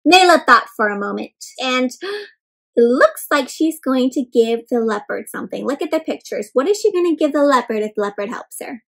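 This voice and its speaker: A high-pitched woman reads a picture book aloud for little kids. She is animated and expressive, pausing to question her young listeners and spell out what words mean. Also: vocal fry. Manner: animated, asks questions and explains words to children; dramatic gasps and exclamations. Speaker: children's read-aloud storyteller.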